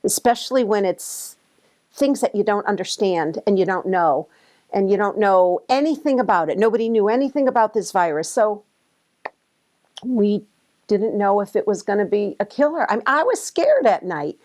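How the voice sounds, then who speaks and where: Deliberate woman, medium pitch. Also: lively intonation, darkish tone. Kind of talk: candid interview talk.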